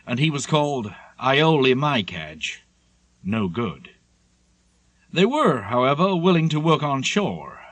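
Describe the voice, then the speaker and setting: A man with a husky, slightly rough voice reads an audiobook memoir in a measured storytelling style. His pitch sits in a middle male range, and the sound is dry, with no room around it. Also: no echo. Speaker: audiobook narrator. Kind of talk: scripted narration of a seafaring memoir.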